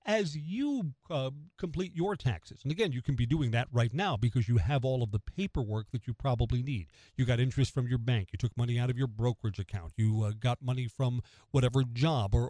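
A middle-aged American man hosting morning radio, giving opinion commentary in a conversational, emphatic way with pointed pauses. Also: mid-range voice, a little mellow, no echo. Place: close, dry radio studio.

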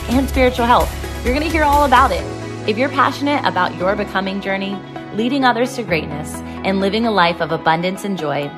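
A woman podcast host delivering a scripted motivational introduction in an emphatic, rallying manner. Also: mid-range voice.

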